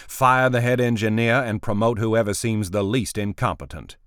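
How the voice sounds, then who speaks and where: A man voicing an irritable, wealthy male tech boss who is brash, impatient and quick to swear. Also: lowish voice, fairly bright tone, clean tone, no room sound, clean studio sound, pitched above the performer's own voice, natural voice.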